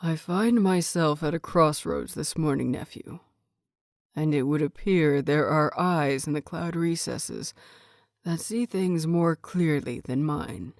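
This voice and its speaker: A woman voicing a stern older man, a clan teacher with a measured, formal, reserved manner, in an audio story. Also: low voice, gravelly, no room sound, clean studio sound, heavily acted.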